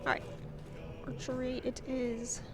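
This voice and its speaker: A woman's voice: fairly high pitch, completely flat delivery, unhurried. A woman giving casual let's-play commentary, chatty, exclaiming at setbacks and making wry, self-mocking asides. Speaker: gamer. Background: game music.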